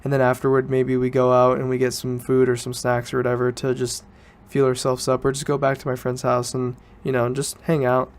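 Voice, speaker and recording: A man's voice: mid-range voice, monotone, fairly quick, hardly any pauses. A young man, student age, talking casually and informally as a solo podcast host, rambling and correcting himself as he goes. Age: teens to young adult student.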